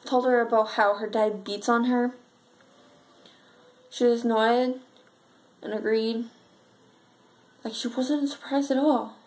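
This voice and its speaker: A sad, frightened schoolgirl played by a woman tells her story in a fairly high voice. The delivery is an earnest amateur monologue, halting and full of pauses. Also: fairly even intonation, darkish tone, poor audio. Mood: subdued, uneasy.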